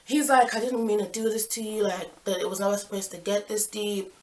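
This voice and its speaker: A woman's voice: mid-range voice, little pitch movement, a little fast. Young woman telling a dramatic story. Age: young adult. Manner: slangy, dramatic, quotes people with exasperated emphasis. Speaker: storytelling vlogger. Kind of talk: casual personal storytime, acting out dialogue.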